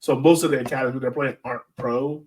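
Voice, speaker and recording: A man's voice: mid-range voice, steady tone, quick pace, darkish tone, slight background noise. An American man co-hosting a sports podcast in casual, informal talk peppered with "like". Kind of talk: casual chat. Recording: voice distant from the microphone.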